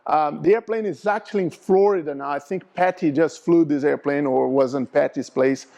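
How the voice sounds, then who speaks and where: Brazilian-accented middle-aged man, slightly high voice, explaining slides to a club audience. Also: normal speed, vocal fry, dark tone, muffled recording. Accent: Brazilian Portuguese. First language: Portuguese. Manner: explanatory, asks audience for agreement. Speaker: aerospace engineer presenting to a flying club. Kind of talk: slide presentation talk.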